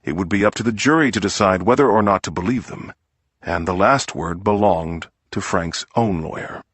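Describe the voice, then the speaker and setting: A man's voice: deep voice, moderate pace, a bit bright, breathy edge, no echo. A man reading scripted narration of a crime story as an audiobook narrator.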